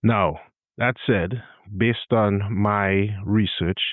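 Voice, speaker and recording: A man's voice: on the low side, fairly even intonation, drawn-out words, dry recording, narrow, tinny audio. A man from Trinidad and Tobago with a Trinidadian accent, hosting his own podcast in a solo talk with wry, self-deprecating asides. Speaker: podcast host.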